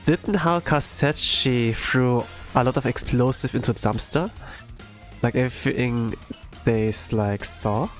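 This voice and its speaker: A streamer of unclear sex reading a game story aloud in a dramatic, halting way, stumbling over words now and then. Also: medium pitch. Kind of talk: dramatic readalong with put-on character voices. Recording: music behind the voice.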